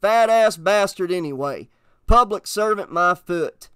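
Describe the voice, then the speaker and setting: A man voicing a hot-tempered, blustering small-town father with a gruff growl in an audiobook. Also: high voice, expressive, a little slow, a bit bright, no echo, studio quality, pitched above the performer's own voice. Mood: irritated, blustering. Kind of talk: audiobook character voice.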